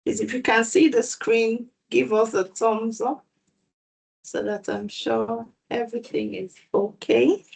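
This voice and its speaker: A woman hosting an online exam prep session over a video call, welcoming people in a measured way. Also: on the high side, steady tone, vocal fry, a little mellow, husky.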